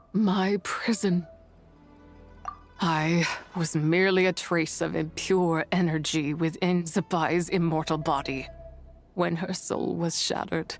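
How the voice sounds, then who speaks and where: A woman voicing an ancient, menacing spirit in a video game, grave and imperious, with weary, archaic speech. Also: on the low side. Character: ancient, menacing female spirit of impure energy, imprisoned and weary.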